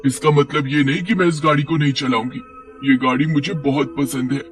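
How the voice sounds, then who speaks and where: Willful witch risen from the grave, played by a man, excitable, higher-pitched, for a horror bedtime story. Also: a little fast, over music, natural voice. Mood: stubborn, eager. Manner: self-absorbed, excitable.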